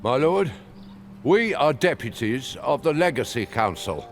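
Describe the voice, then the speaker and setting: Casting: a proud fantasy game adventurer, gravelly and courteous, extremely animated intonation. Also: male voice, medium pitch, measured pace, a little hiss or hum. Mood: formal, assured. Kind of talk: fantasy video game voice acting.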